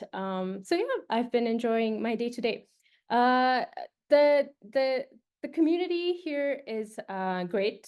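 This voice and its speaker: A woman's voice: fairly high pitch, darkish tone. A young woman from Nepal, a postdoctoral researcher, giving a casual presentation with many fillers and restarts. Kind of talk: informal presentation to prospective postdocs.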